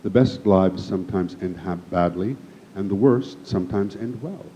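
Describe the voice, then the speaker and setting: A man reading the lines of a proud, aloof male god in a measured, dry way. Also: dark tone, close to an everyday voice. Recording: muffled with faint rumble.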